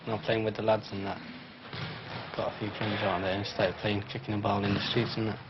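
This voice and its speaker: English-born man, blunt interviewee, low and rapid voice, muffled recording with clear background noise. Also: flat delivery. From England. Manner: plain-spoken, blunt. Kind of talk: casual interview answers.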